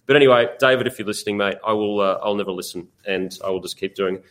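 Deep-voiced jokey Australian host. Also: male voice, very fast, a bit bright. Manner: jokey, rambling, self-interrupting. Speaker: podcast host. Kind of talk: casual podcast hosting.

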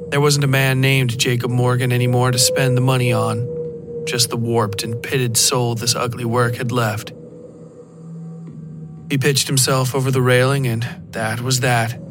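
Man narrating horror fiction over prominent music. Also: mid-range voice. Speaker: podcast narrator.

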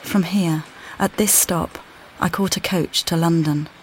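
A woman as a weary displaced mother, low-voiced. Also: a little slow, a little hiss or hum, natural voice. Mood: reflective. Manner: calm, plainspoken, addresses listener directly. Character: displaced mother, weary and uncertain, far from home. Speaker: audio guide narrator. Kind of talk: immersive walking-play narration.

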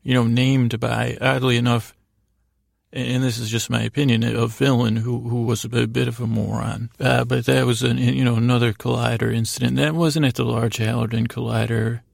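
Gravelly, rambling male podcast host. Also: medium pitch, little pitch movement, moderate pace, no echo, clean studio sound. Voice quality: gravelly, creaky. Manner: meandering, self-deprecating digressions. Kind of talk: rambling bedtime monologue, casual storytelling.

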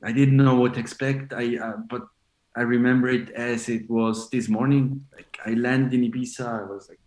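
A forty-year-old man from Switzerland chats loosely as an interview guest, circling his point with fillers and false starts. His voice sits at an ordinary middle pitch. The room around him rings with noticeable echo. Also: flat delivery, moderate pace, darkish tone. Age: 40s. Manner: rambling, many fillers and restarts. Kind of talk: casual interview chat.

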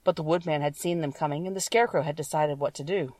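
Female storybook narrator, slightly low voice, very dry room, sitting a little back from the mic. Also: quick pace, a little mellow, no echo, recorded from a distance. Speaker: audiobook narrator. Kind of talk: scripted children's story narration.

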